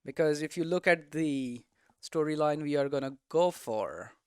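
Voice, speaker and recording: A man's voice: fairly high pitch, moderate pace, clean tone, no room sound, clean studio sound. A man giving a casual, unscripted tutorial as a game developer, thinking aloud and often checking in with rhetorical questions. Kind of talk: casual, unscripted explanatory talk.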